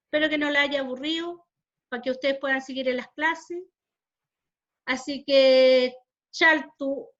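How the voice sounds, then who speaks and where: A woman with a Chilean Spanish accent speaks to a class over a video call. Her voice sits high, and she talks earnestly and with feeling, circling back over her own words. The sound is dull and lo-fi. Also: a bit bright. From southern Chile. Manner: earnest, emotional, repeats phrases. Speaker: Mapuche community speaker. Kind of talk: heartfelt talk to a class.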